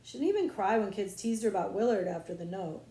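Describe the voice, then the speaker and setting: A woman reading a children's novel aloud as expressive, dramatic narration. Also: medium pitch, fast. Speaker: audiobook reader, librarian. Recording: roomy echo.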